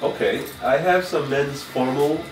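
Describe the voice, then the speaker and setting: A middle-aged man from Puerto Rico, raised in New York City, talking casually and a little haltingly as a fashion designer being interviewed. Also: mid-range voice, recorded from a distance, background noise. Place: designer's indoor studio with sewing machines. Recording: echoey room, off-mic, music behind.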